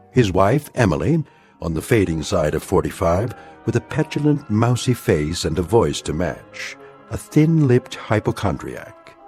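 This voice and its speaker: A man narrating a radio drama in a sardonic, ominous way with deliberate dramatic phrasing. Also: low-pitched, darkish tone.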